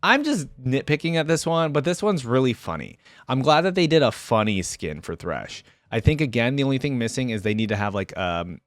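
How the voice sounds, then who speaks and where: Man reacting casually, very expressive pitch. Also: no echo, studio quality. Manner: informal, thinking aloud. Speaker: reaction video host. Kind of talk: casual reaction commentary on game character skins.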